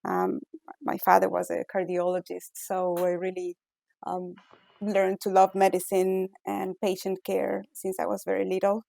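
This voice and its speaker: A woman's voice: mid-range voice, completely flat delivery, a little slow, vocal fry, dark tone, dry recording. A middle-aged woman from Ecuador with an Ecuadorian Spanish accent, a physician scientist answering interview questions thoughtfully with many fillers and restarts.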